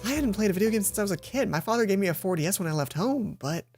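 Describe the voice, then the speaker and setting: A woman's voice: mid-range voice, animated, rapid-fire, over music. A woman hosting a let's play, reading a visual novel's narration aloud and sometimes stumbling and rereading lines.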